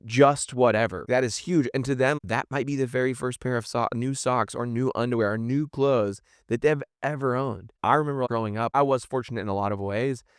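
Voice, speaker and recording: A man's voice: mid-range voice, fairly quick, hardly any pauses, smooth, no room sound, clean studio sound. A man chatting casually as a podcast guest, earnest and encouraging in his manner.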